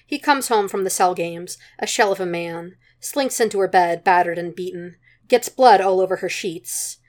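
A woman's voice: fairly low pitch, normal speed. A woman reading a story aloud as scripted narration, in the manner of an amateur audiobook. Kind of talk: scripted narration of fan fiction.

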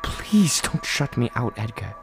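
A man's voice: mid-range voice, sing-song, a little slow. A man playing a devoted teenage younger brother in a melodramatic audio drama, earnest, emphatic and pleading. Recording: voice under loud music. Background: music.

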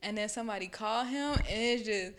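A young woman co-hosting a casual chat, animated and laughing often as she mimics other people. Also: medium pitch, brisk.

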